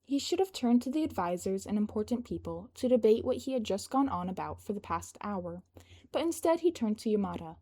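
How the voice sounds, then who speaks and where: A woman's voice: medium pitch, very animated, a little fast, warm tone. A woman reading a scripted piece of fan fiction as its narrator.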